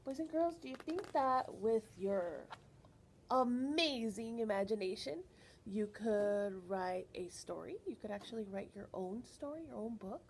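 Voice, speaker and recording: Very high-pitched, animated woman reading to kids. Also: slight background noise. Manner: animated, expressive, teacherly, pausing to question children. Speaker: children's librarian reading aloud. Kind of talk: picture book read-aloud for young children, with questions to the listeners.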